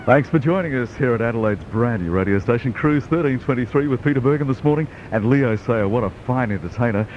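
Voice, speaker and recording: A man's voice: mid-range voice, expressive, brisk, few pauses, a little hiss or hum. Male Australian radio presenter, dull lo-fi broadcast. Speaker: radio host.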